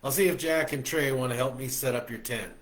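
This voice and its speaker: A man playing a gruff, blunt older father who curses while scolding his son. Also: medium pitch, brisk, a bit bright, natural voice. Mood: gentle. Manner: blunt, cursing.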